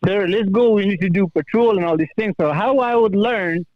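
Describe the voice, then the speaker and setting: High-voiced Afghan-accented man on a dull call. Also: normal speed, no echo. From Kabul, Afghanistan. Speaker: call-in guest, former police officer. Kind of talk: personal account in conversation.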